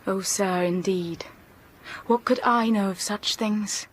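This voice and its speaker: An actress playing a guarded, lonely, quietly yearning young schoolteacher in a radio drama, speaking in a hushed, inward way. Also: female voice, mid-range voice, slow, a little hiss or hum, natural voice. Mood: guarded, teasing. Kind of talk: radio drama acting and first-person narration.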